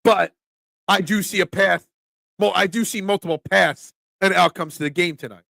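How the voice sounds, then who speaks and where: A man's voice: high voice, moderate pace, gravelly, sharp tone, no echo, clean studio sound. A young man with a Philadelphia accent hosting a casual sports talk stream, chatting loosely and answering viewers by name. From Philadelphia area. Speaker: sports talk show host.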